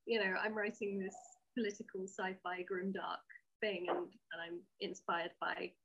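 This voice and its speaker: A woman's voice: medium pitch, level tone, moderate pace, creaky, a bit bright, slightly rough, dull audio. A woman talking candidly and with firm opinions as an interview guest, explaining things conversationally. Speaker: interview guest, sci-fi writer.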